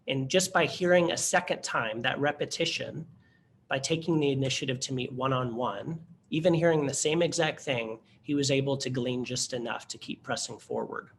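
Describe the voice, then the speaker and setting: A man's voice: medium pitch, a little hiss or hum. A man presenting a webinar to instructors, speaking earnestly and conversationally with frequent fillers and restarts. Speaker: workshop presenter.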